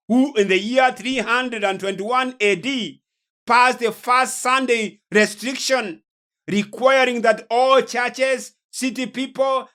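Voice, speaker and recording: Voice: medium pitch, pushed and bright. A preacher delivering a sermon-like lecture on prophecy, solemn and emphatic, repeating phrases for weight. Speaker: preacher and host of a religious teaching series.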